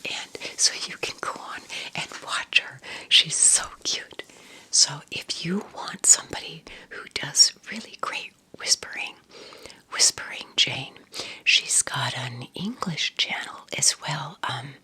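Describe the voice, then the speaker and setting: A woman whispering casual ASMR recommendations in a breathy, husky voice, with an unhurried, affectionate manner. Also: deep voice, lively intonation, sharp tone. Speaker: ASMR content creator.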